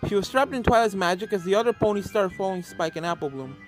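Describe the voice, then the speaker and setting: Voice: mid-range voice, lively intonation, fast, low-quality recording. A narrator reads a scripted story aloud. Music plays behind the voice throughout the fan fiction reading.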